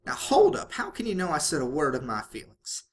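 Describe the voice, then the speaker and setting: Someone doing a stubborn farm girl pony, folksy n flustered, up and down pitch, bit of noise. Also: sing-song, brisk, lightly acted. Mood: alarmed, protesting. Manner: folksy, reluctant, protesting.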